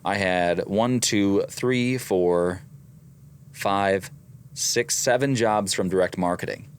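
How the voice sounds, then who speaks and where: Low-voiced man with a slight Midwestern accent. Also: takes it slowly, a little hiss or hum. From American Midwest. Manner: methodical, reads out numbers. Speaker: voice actor and co-host. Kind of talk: casual business chat.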